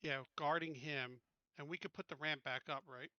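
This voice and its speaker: Man, game player, slightly high voice, dry sound, halting, practical, casual planning. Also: fairly even intonation, pushed and bright, no room sound, lo-fi sound.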